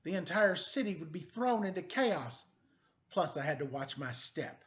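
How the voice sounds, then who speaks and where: A man's voice: fairly high pitch, big pitch swings, moderate pace, fairly bright tone, dull audio. A middle-aged man reading a story aloud for children in a playful, quizzing manner. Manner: playful, quizzing young listeners. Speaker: story-time teacher reading a picture book aloud.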